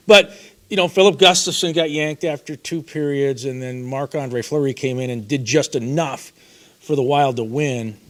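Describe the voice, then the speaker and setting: A man's voice: mid-range voice, moderate pace, a bit bright. A man co-hosting a casual sports talk show, giving folksy, opinionated takes.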